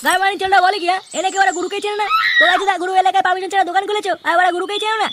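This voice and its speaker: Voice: very high-pitched, flat delivery, rapid-fire, very noisy. A performer voicing a brash, foul-mouthed male street gangster in an adult comedy cartoon, cursing and bullying. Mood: threatening.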